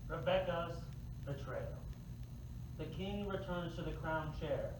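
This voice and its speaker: A man's voice: a little high, slow pace. A man reading out stage directions as scripted narration at a staged play reading. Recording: echoey, distant, noisy stage recording.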